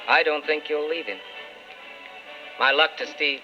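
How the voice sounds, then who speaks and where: A man's voice: on the high side, steady tone, unhurried, bright, forceful tone, faint music behind. An actor playing a principled, wistful man in love, speaking earnestly in an old-time radio drama. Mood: resigned. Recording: muffled old radio broadcast with hiss.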